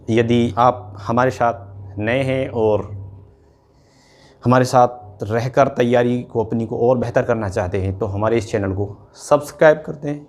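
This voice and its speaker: A man's voice: mid-range voice, darkish tone. A man giving informal instructional advice as an exam coach, explaining step by step and often checking in with short tag words. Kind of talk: informal instructional talk to students.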